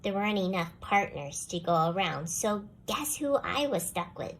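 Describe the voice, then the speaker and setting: A woman voicing a young schoolgirl telling a first-person storybook tale with expressive, put-upon delivery. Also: mid-range voice, normal speed, low-quality recording. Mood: indignant. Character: jealous young schoolgirl, grudgingly softening toward the dog. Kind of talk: scripted children's storybook read-aloud.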